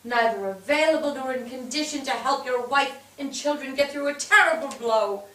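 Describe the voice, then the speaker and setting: A woman's voice: high-pitched, normal speed, sharp tone. A woman playing a stern judge of the afterlife in a staged period drama, probing and reproaching a dead man. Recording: echoey theatre, distant microphone.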